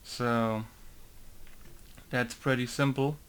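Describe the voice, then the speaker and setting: A man's voice: mid-range voice, steady tone, very slow, a bit bright, clear, resonant tone. A young man casually talking through a game modding tutorial, rambling with many fillers and self-corrections. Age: young adult. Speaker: game modder.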